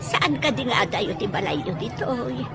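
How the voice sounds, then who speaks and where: A woman's voice: fairly high pitch, animated, normal speed, loud music behind the voice, a loud crowd. An actress playing a kindly elderly grandmother in a radio drama, chuckling and fussing over a younger woman. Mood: fond.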